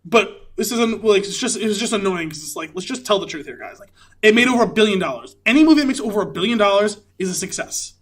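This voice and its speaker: A man's voice: sits high, expressive, fast talker. A man co-hosting a casual movie podcast, talking in an emphatic, opinionated, sweary way. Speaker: podcast co-host.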